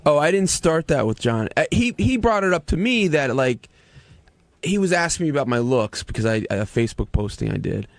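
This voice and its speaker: A man's voice: on the high side, very animated, a little fast, no room sound. A man who works on a radio show, chatting casually on air. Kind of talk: casual chat.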